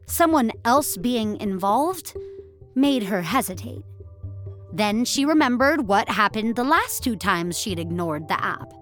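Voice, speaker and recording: A woman narrating scripted audio fiction in a measured, expressive storytelling style. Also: on the high side.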